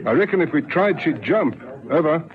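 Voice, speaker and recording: An actor playing a brisk, worried patrol policeman in a vintage radio drama, speaking in clipped, urgent style. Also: on the high side, expressive, close to an everyday voice. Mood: urgent, businesslike. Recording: muffled vintage radio, clear background noise.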